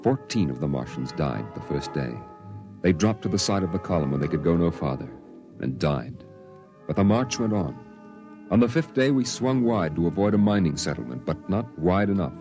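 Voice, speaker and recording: A man playing a somber storyteller recounting his boyhood on Mars, in measured, dramatic first-person narration for a vintage radio drama. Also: low-pitched, big pitch swings. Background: dramatic music.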